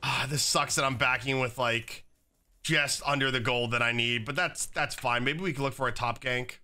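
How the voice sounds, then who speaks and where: A young man with a slightly raspy voice from being sick, chatting casually while commenting on his own gameplay at a desk setup. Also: mid-range voice, steady tone, normal speed, bright, forceful tone. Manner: casual, chatty, many fillers like "like" and "um". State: slightly raspy, recovering from illness. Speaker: gaming YouTuber. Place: home gaming setup, indoors.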